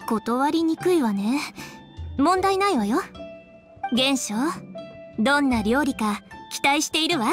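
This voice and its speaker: A woman voicing a composed, refined, proud young lady in an anime fantasy game, poised and measured. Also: high-pitched, animated, music in the background. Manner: poised, measured, feminine phrasing. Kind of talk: Japanese anime game voice acting.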